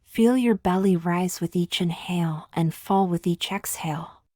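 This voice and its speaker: Slow, low-voiced woman guiding meditation. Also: dry recording, studio quality. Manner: soothing, gentle, measured with long pauses. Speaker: meditation guide. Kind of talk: guided meditation, scripted.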